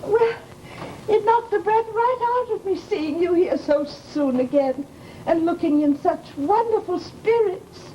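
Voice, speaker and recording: Mournful, fluttery landlady: high voice, unhurried, folksy and sighing, on a hissy old radio recording. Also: expressive, warm tone, highs cut off. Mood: flustered, fussy. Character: fussy, sentimental landlady, mournful and easily flustered. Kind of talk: 1940s radio drama acting.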